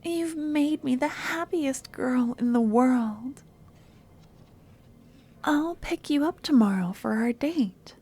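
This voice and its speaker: A woman playing an obsessive young stalker in an ASMR roleplay, sweet yet menacing, speaking softly and often dropping to a whisper. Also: high-pitched, slow pace, slightly rough, full character voice. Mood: delighted, sweet.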